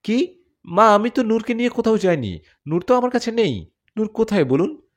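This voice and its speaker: A man's voice: high voice, big pitch swings, moderate pace, voice pushed up. A man doing an earnest lovesick jinn warrior. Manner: earnest, pleading. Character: devoted young male jinn warrior, lovesick.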